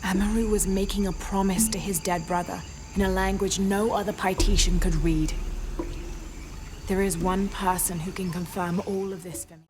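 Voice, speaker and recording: A woman playing a determined, troubled young female adventurer in a video game cutscene, speaking earnestly and with measured thought. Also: mid-range voice, fairly even intonation, noisy background, close to an everyday voice. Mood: resolute. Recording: game cutscene with music behind.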